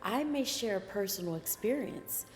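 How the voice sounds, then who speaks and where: A woman co-hosting a casual talk show about dating. She has a soft voice and gives measured, thoughtful advice. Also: medium pitch, animated, faint music behind. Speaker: podcast co-host. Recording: long reverb.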